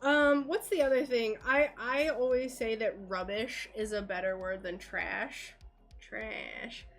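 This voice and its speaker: A woman's voice: a little high, steady tone, slow pace, creaky, a bit bright, soft background music. An American woman streaming her craft work, chatting casually and giggling, with a slightly worn voice. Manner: chatty, giggly, rambling with fillers. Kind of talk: casual chat while working.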